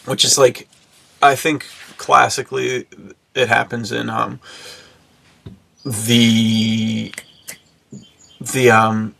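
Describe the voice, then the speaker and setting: An American man with a very creaky, bright voice chats loosely as a podcast co-host. His delivery is slangy and rambling, with a slightly husky edge to the sound. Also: mid-range voice, flat delivery, drawn-out words, long pauses. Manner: slangy, rambling, acts out imagined remarks. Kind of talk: casual chat.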